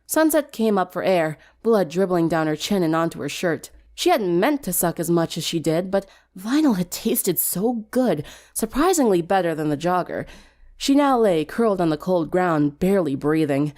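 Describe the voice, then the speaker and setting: A woman's voice: fairly low pitch, expressive, normal speed, a little mellow. A woman reading a scripted story aloud as a dramatic narrator. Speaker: fan fiction narrator.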